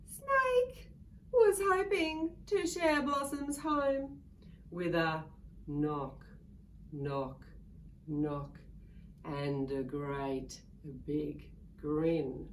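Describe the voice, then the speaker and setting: A woman reading a picture book aloud to young children in a playful, expressive way, drawing out words and acting out sounds. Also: low-pitched, extremely slow. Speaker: children's story reader. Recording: distant mic with faint background noise.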